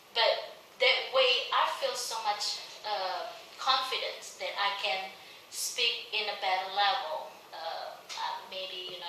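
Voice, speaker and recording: Woman, hesitant speech with many fillers, bright tone, distant mic, very echoey room. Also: medium pitch. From Indonesia. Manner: hesitant, many fillers, simple non-native grammar. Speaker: student speaker. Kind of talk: informal talk to a student group. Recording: echoey room from far off, faint noise.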